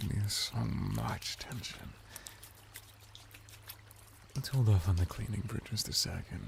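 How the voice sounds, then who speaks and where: Man playing a deep, slow, gravelly seductive merman. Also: slight background noise, exaggerated voice. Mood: soothing, attentive. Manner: soft-spoken, intimate, formal flattering speech with lingering pauses. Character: flirty, calm male merman bathhouse attendant, courtly and seductive. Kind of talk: ASMR roleplay addressed to the listener.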